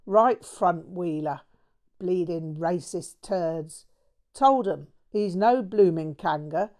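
A woman's voice: on the low side, takes it slowly, a little mellow. A woman voicing a brash, foul-mouthed English man who is bitter, manic and full of slang in an audiobook. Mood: defiant. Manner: swearing, slangy, bursts of manic cackling. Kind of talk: audiobook character voice.

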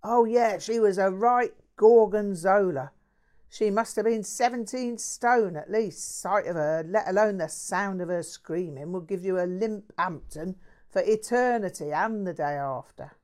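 A woman's voice: medium pitch, unhurried, a little mellow, voice pushed up, natural voice. A woman voicing a crude bloke. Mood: ranting. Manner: swearing, slangy, ranting. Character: crude brash working-class London man.

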